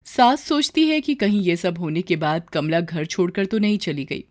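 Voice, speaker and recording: A woman's voice: on the low side, expressive, normal speed, a little mellow, no room sound, clean studio sound. A woman storyteller giving scripted narration of a story.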